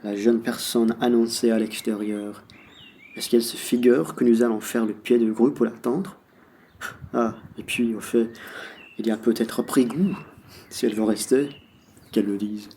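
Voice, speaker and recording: A man's voice: mid-range voice, fairly even intonation, moderate pace, warm tone, slight background noise, natural voice. A man playing a bossy, fussy bourgeois husband who grumbles, in a French radio play. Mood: impatient. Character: bossy bourgeois husband fussing over provisions.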